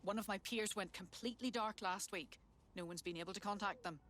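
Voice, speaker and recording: An actress playing an earnest resistance sympathiser in a video game, sincere and conversational. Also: female voice, medium pitch, fairly quick, a bit bright, lightly acted. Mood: worried. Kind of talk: video game cutscene acting.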